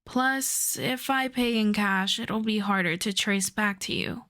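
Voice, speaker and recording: Slow-talking, coaxing supervillain played by a woman. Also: medium pitch, fairly bright tone, natural voice. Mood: coaxing, sheepish. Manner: unhurried, teasing, conversational. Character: infamous female supervillain, wry, coaxing, flirtatious costume client. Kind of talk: intimate ASMR roleplay audio drama.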